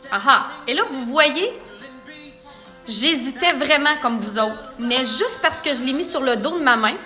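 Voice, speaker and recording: Brief: chatty Quebec woman, high and bright, live makeup demo, faint music behind. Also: lively intonation, measured pace. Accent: Quebec French. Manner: chatty, playful, reads viewer comments aloud. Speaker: makeup artist.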